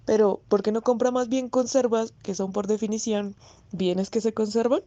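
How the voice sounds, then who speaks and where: A woman plays a talkative boarding-house landlady who chides politely and spins family tales in a radio drama. The voice is fairly high and soft-edged, recorded very dry. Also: fairly even intonation, moderate pace, no echo, close to an everyday voice. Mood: polite, chatty. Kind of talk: radio theatre storytelling. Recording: dull, dry, close recording.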